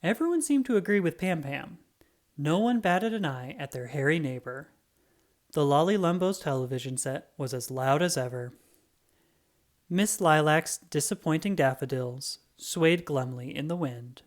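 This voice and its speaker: Animated storybook narrator with lively pitch. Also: moderate pace. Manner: dramatic, expressive delivery. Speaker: children's book narrator. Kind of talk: animated scripted storybook read-aloud.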